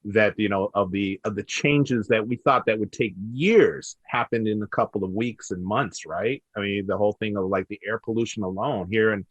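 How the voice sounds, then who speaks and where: A man's voice: lowish voice, moderate pace, hardly any pauses, breathy edge, dry recording, lo-fi sound. A man chatting casually on a video panel, conversational and emphatic, often checking for agreement. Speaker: panelist, storyteller. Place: indoors, on Zoom call.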